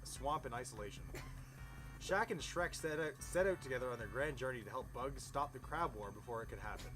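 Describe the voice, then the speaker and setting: A man reads a story aloud in a storybook manner with music clearly behind him. He sounds amused and incredulous, ready to step out of the text with an aside. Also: medium pitch, lively intonation, brisk, poor audio. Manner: amused, incredulous, breaks off to comment. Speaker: reader of a fan fiction story. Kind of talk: cold storybook-style reading with amused asides.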